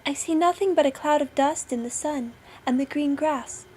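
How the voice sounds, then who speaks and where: A woman's voice: high-pitched, moderate pace, darkish tone, a little hiss or hum, pitched above the performer's own voice, lightly acted. A woman voicing an anxious, watchful sister in a storybook tale, calling out what she sees. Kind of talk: storybook character voice.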